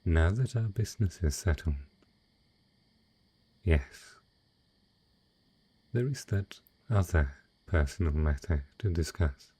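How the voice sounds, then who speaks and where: A man playing a wealthy, possessive dragon in human guise, speaking in slow, formal, seductive phrases for an intimate romantic audio roleplay. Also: low voice, expressive, warm tone, husky, dry recording, full character voice.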